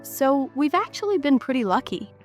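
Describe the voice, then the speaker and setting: A fairly high-voiced woman with a stuffed-up, congested sound from a cold. She gives a chatty, excitable guided tour of an abandoned house, often exclaiming in amazement. Faint music plays under her voice. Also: normal speed. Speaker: urban explorer vlogger. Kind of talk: casual guided tour narration.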